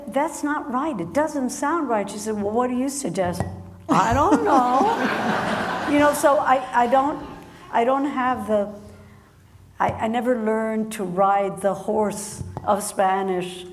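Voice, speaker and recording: A woman author talking with an audience at a book event. She laughs often and speaks in halting restarts. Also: a little high. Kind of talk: conversation at a public book event. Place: large hall with an audience. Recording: echoey hall with faint noise.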